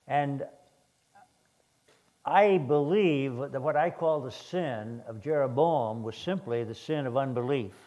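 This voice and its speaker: An older man preaches in a hoarse voice, often stumbling and restarting mid-sentence. His delivery is plain and unhurried as he reads scripture and comments on it. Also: medium pitch, a little mellow. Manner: halting, many restarts and fillers. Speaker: guest preacher. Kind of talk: sermon.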